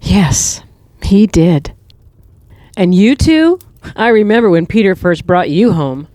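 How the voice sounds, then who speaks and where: A woman playing a wise, tender older mother in an audio drama, speaking in a gentle, reassuring and earnest way. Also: mid-range voice, animated, takes it slowly, no room sound, clean studio sound. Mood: fond, reassuring.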